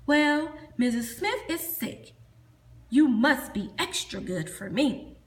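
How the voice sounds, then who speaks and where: A woman voicing a flustered substitute teacher who calls out to children in a high, loud, bossy voice. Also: expressive, slow pace, reverberant.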